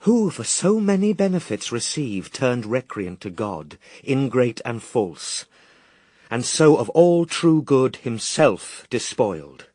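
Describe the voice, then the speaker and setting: A man's voice: fairly low pitch, darkish tone, dry recording, clean studio sound. An actor playing the Son of God as a grave, patient and steadfast man, declaiming solemn verse in a radio drama. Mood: stern, exalted. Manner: measured, declamatory, morally firm.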